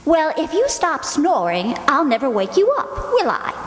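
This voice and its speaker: A woman's voice: high voice, wildly swooping pitch, moderate pace. A woman acting a shrewish, needling but easily wounded wife in an old-time radio comedy on stage. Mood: plaintive, wheedling. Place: auditorium stage, live radio-play performance. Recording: echoey hall, live stage performance.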